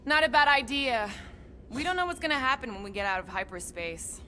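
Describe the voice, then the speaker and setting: An actress playing a tough, practical former assassin in a video game, firm and matter-of-fact. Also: female voice, sits high, big pitch swings, brisk, pushed and bright, slight background noise, heavily acted. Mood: dry, practical.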